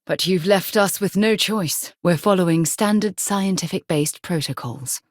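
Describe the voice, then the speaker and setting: A woman playing a composed, principled space explorer in a video game, with articulate, measured and formal phrasing. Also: on the low side, no echo, clean studio sound, natural voice. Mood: composed, reserved. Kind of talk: video game character dialogue.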